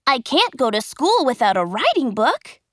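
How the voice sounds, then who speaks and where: A woman's voice: normal speed, no room sound, clean studio sound. A woman voicing a naughty but loving little wooden puppet boy in a storybook reading, in an eager, pleading way. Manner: pleading, high and excited.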